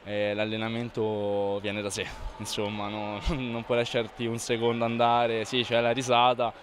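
Young male athlete, post-match, low and monotone, loose repetitive answers, handheld mic. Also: moderate pace, slight background noise. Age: young adult. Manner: relaxed, repetitive, self-correcting answers. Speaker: volleyball player. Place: indoor sports hall in front of a sponsor wall. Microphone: reporter's handheld mic held close.

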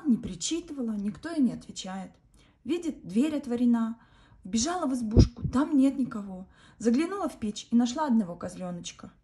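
A woman with a notably high voice reads a folk tale to children at bedtime. Her delivery is gentle and even, a calm storyteller's pace. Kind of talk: bedtime fairy-tale reading.

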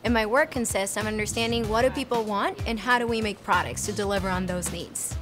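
A woman in her thirties from Puerto Rico, an engineer answering interview questions with clear, earnest explanations, recorded on an earbud mic in a lab storeroom. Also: mid-range voice, a little fast. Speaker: research and development engineer. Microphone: earbud headset mic. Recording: music bed underneath.

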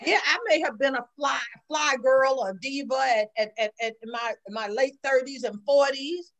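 Emphatic woman in her sixties, high voice. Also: normal speed, a bit bright. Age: 60s. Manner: preachy, emphatic, repeats "okay?". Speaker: guest. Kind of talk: casual chat on a video call. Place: home room. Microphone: video call.

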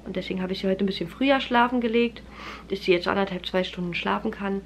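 A woman's voice: mid-range voice, quick pace, a little hiss or hum. A woman in her thirties with a stuffy nose from a cold, chatting casually to the camera in her living room, full of fillers and friendly asides to viewers. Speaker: family vlogger. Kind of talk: casual vlog chat to camera. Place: living room at home, indoors. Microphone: camera held close at arm's length.

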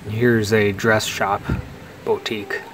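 A man narrating a walking tour through a quiet indoor mall while filming, talking in a relaxed way with pauses. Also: lowish voice, very noisy. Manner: relaxed, unhurried commentary with pauses. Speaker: mall explorer vlogger. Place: large indoor mall, mostly empty.

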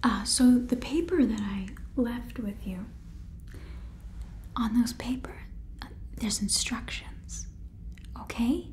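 A woman's voice: on the high side, slow, pauses often, a little mellow, a little hiss or hum. A young woman playing a weary, insistent space-agency boss on the phone in a whispered ASMR sitcom. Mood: weary, pressing. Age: young adult.

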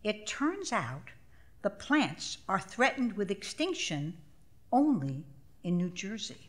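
Woman, 70s, author reading onstage: slow, expressive, wide-ranging intonation, dry wit. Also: mid-range voice. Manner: measured, expressive, wry delivery. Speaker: author reading own essay. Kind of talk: literary essay reading for a live audience. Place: small theater stage, live event. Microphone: stage microphone.

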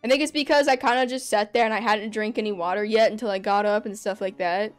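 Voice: medium pitch, fairly even intonation, quick pace, clear, resonant tone, soft background music. A young adult streamer chatting casually over gameplay in their bedroom, rambling and laughing often. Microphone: desk microphone.

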